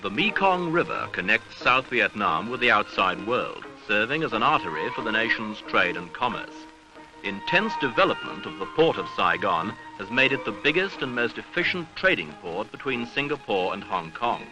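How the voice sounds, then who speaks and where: A man reading a scripted documentary newsreel narration in a measured, grave, rhetorical manner, on an archival seventies soundtrack. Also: lowish voice, very animated, music in the background, rough recording.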